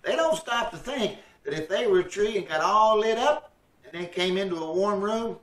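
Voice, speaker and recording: A man's voice: high-pitched, normal speed, fairly bright tone. A man playing a folksy, good-natured, slightly hesitant fellow in an old-time radio comedy, recorded over a video call. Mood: patient, mildly gruff. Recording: distant, echoey video-call sound.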